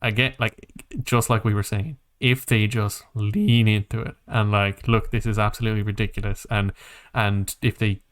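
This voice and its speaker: A man's voice: fairly low pitch, flat delivery, normal speed. A man hosting a casual podcast about games and films, thinking aloud in a hesitant, rambling way. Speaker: podcast host.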